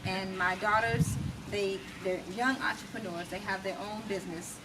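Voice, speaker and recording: A woman's voice: medium pitch, steady tone, normal speed. A middle-aged American woman talking emphatically and at length as a guest in a conversational interview. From United States. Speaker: interview guest, massage therapist and music artist. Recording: distant mic, clearly noisy background.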